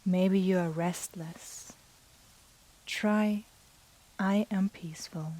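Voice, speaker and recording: A woman guiding a yoga nidra meditation in a soft, breathy, soothing manner with long pauses. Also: on the low side, steady tone. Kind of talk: guided meditation.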